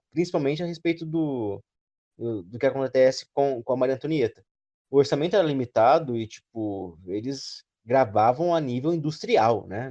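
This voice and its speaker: Musing Brazilian man, mid-pitched voice. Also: mellow, highs cut off. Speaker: panelist on a fan roundtable. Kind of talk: casual discussion.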